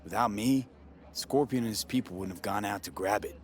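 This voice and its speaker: A man's voice: on the low side, brisk, lightly acted. An actor voicing an easygoing male mercenary in a video game, casual and direct. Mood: earnest.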